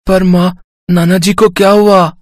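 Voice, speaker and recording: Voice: mid-range voice, flat delivery, a little slow, no echo, clean studio sound, natural voice. An adult performer voicing an innocent, plaintive six-year-old boy for a cartoon story. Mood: worried.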